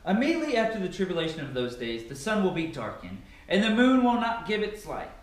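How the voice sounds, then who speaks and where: Young male Bible reader, slightly high-pitched, dramatic and emphatic delivery, heavily echoing bare room, distant mic. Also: huge pitch swings, fast talker. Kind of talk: dramatic scripture reading. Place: bare carpeted living room indoors. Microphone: far camera. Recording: very echoey empty room, distant microphone.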